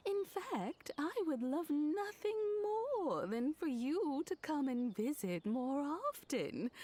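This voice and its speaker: An actress playing a kindly old female servant in a video game, polite, affectionate and gently effusive. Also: a little high, exaggerated voice. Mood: gracious.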